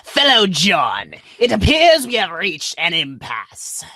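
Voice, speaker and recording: A man's voice: high-pitched, wildly swooping pitch, slow, bright, forceful tone, no echo, pitched above the performer's own voice, full character voice. A man voicing a stiffly formal, menacing creature with pompous, ornate politeness in a comic script reading. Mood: formal, courteous.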